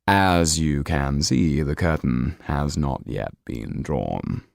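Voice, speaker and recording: A man voicing a suave, courtly Count with formal, drawn-out speech. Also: very deep voice, lively intonation, gravelly, no room sound, studio quality, pitched below the performer's own voice, heavily acted.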